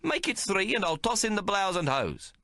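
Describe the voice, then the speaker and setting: A man's voice: big pitch swings, normal speed, sharp tone, no echo, studio quality, full character voice. A man voicing a sly, haggling little male tailor with a whiny, pleading manner in an audiobook. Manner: whiny, pleading, high-pitched. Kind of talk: audiobook character voice.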